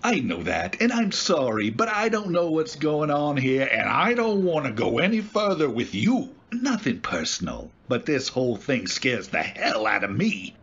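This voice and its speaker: Radio drama cabbie, played by a man: wary, colloquial, incredulous, husky voice, slightly muffled recording. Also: mid-range voice, huge pitch swings, moderate pace, few pauses, a bit bright, full character voice. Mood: uneasy, firm. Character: wary, exasperated working-class male cab driver. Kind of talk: old-time radio drama acting.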